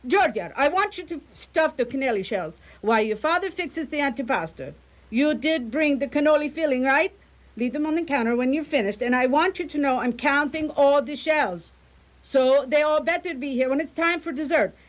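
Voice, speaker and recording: Woman playing high-pitched nagging Italian mama. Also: animated, poor audio. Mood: exasperated, bossy. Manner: scolding, exasperated, rapid questions. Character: bossy, nagging older Italian mother and grandmother. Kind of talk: live radio comedy drama acting.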